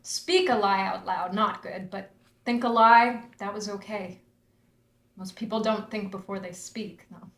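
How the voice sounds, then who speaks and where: A woman's voice: mid-range voice, steady tone, unhurried, roomy sound, close to an everyday voice. A woman reading a screenplay part at a table read, playing a wry actress recalling the disaster.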